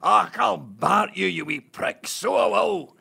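Man doing an older glasgow dad yelling, glasgow accent, high strained shout, slow. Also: heavily acted. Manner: shouting, gruff. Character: older Glaswegian father yelling in his sleep.

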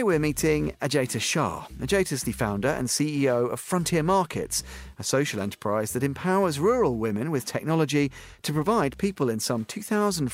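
Male show host, brisk and formal, with an animated, up-and-down delivery. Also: mid-range voice. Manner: polished, formal phrasing that turns into halting, rephrased questions. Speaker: podcast host. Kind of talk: scripted intro, then interview questions.

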